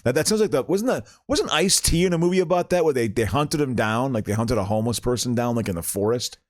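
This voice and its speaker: A man's voice: mid-range voice, animated, fast talker, no echo, clean studio sound. A man hosting a casual comedy podcast, rambling and stammering through stories, laughing often. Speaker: podcast host.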